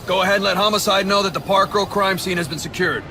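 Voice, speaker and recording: An actor playing a city police officer in a video game, casual, blunt and matter-of-fact. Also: male voice, high voice, fast talker, background noise, lightly acted. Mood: businesslike.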